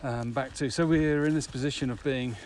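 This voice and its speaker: Guy in his 50s chatting on a podcast, average pitch, recorded outside w clear background noise. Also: steady tone, a little fast, darkish tone. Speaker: podcast co-host, business owner. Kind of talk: casual conversational podcast chat. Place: outdoors at dusk. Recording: clearly noisy outdoor background.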